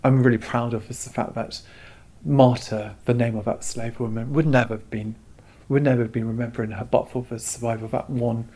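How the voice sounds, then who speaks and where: A man's voice: medium pitch, fairly even intonation, brisk, warm tone. A man interviewed as a curator, explaining things in an earnest, reflective way. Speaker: exhibition curator being interviewed.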